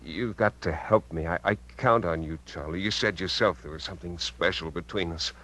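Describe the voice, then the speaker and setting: An American actor playing a menacing, bitter, cajoling killer uncle in a 1950s radio drama, with intense delivery. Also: male voice, deep voice, moderate pace, fairly bright tone. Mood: weary, pleading. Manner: intense, swinging from coaxing to contemptuous. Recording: vintage radio recording with hum.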